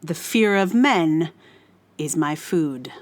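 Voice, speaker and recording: A woman's voice: low-pitched, lively intonation, takes it slowly, heavy background noise. A woman hosting a solo podcast, talking casually in a rambling, hesitant way and often correcting herself as she goes. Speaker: podcast host.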